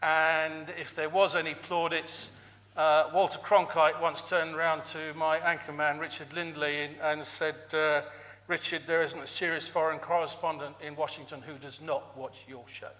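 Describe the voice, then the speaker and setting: Guy running for election, wordy formal speech, bright highish voice, hall reverb. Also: flat delivery, moderate pace. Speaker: election candidate. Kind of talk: hustings speech. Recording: echoey hall with long reverb.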